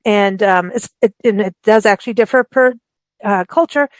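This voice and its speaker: A woman's voice: mid-range voice, moderate pace, dry recording. Chatty woman radio host, dry sound. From Africa. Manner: chatty and animated, with frequent laughs and restarts. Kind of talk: casual interview chat.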